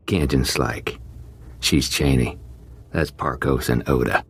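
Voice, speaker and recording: Game casting: streetwise gang convict, bottom-deep gravel, wry and doubting. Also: male voice, very slow, exaggerated voice. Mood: gruff, skeptical. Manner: slangy, drawling tough talk. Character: rough, skeptical male prison-gang convict, streetwise and wry. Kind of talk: video game voice acting.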